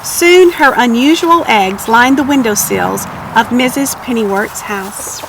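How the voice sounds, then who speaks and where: A woman narrating a children's storybook aloud in an expressive, measured read-aloud style. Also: fairly high pitch, noisy background. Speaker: storybook reader.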